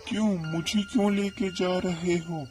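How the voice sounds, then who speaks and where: A man voices a naive young pupil in a cartoon fable, always questioning. His voice sits a bit high and moves slowly. There is clear music behind it. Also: steady tone, a little mellow. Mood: reluctant. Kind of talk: Hindi cartoon moral-story dialogue. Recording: music laid under the voice.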